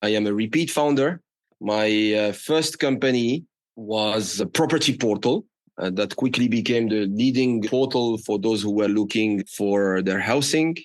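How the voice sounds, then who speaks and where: A man's voice: on the low side, unhurried, no room sound. A man from Morocco with a French accent, speaking non-native English as a guest in a conversational interview. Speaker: startup founder, podcast guest.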